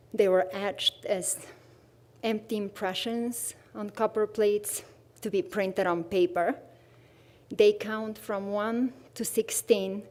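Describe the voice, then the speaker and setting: A woman with a Bulgarian accent, a native Bulgarian speaker, presenting her artwork in a soft-spoken, reflective, slightly nervous way. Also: mid-range voice. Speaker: artist presenting her work. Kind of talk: livestream artist talk.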